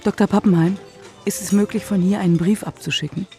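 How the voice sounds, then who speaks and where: A woman's voice: medium pitch, steady tone, brisk, warm tone, faint music behind, natural voice. A woman playing a puzzled, isolated spa guest in a German radio play.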